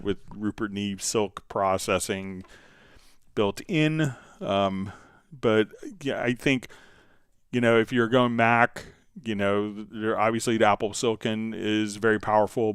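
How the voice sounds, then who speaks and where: Low, smooth-voiced man chatting informally. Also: no echo, clean studio sound. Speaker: live stream host answering software questions. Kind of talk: casual unscripted Q&A talk, reading out viewer questions.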